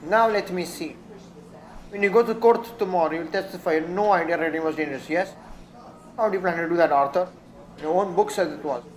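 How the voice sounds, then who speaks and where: A man's voice: sits high, fairly quick, lightly acted, low-quality recording. A young man acting in a radio drama as a stern, probing lawyer coaching his client. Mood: pressing. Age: teenager.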